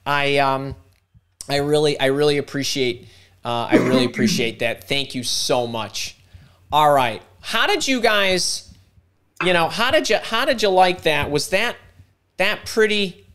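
Animated man coaching a live workshop. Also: on the high side, a little slow, clear, resonant tone. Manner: animated and self-interrupting, thinking aloud. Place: home room indoors. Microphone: video call webcam.

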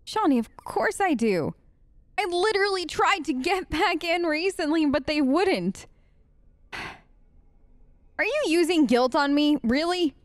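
A woman's voice: very high-pitched, animated, slow pace, pauses often, no room sound, clean studio sound. A woman streamer giving casual, playful gameplay commentary and talking back to the game's characters. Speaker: let's play streamer. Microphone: close, dry mic.

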